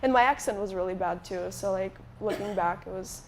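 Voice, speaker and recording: A woman's voice: medium pitch, brisk, slight background noise. A woman in her late twenties from Moldova, a guest chatting onstage in a live interview, with lots of likes and restarts. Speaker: guest YouTuber. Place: conference stage before a live audience.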